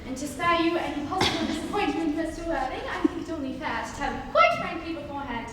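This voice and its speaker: Young woman playing self-assured romantic young lady, high voice, arch delivery, stage comedy, distant hall recording with loud noise. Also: animated, fast. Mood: coy, arch. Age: young adult. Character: poised, self-assured, coy young society lady in love. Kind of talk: stage dramatic reading of Victorian comedy.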